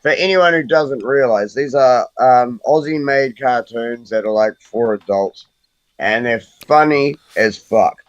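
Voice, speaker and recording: A man's voice: medium pitch, unhurried, no echo, muffled recording. Man, stream guest, casual banter, swears a lot, teasing, dry sound. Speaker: guest on a livestream call. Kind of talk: casual banter on a group call.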